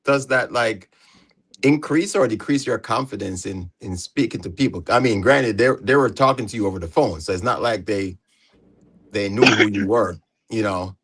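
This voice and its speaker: A man hosting a casual livestream chat, easygoing and quick to laugh as he puts questions to his guests. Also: lowish voice, fairly bright tone, breathy edge. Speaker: livestream host.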